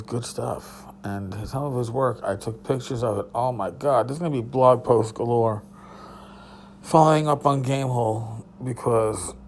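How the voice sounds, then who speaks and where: A tired man with a hoarse voice, hosting a casual solo podcast from a hotel room and rambling loosely with a bit of swearing. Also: mid-range voice, a little hiss or hum. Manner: rambling, profane, restarts. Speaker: podcast host.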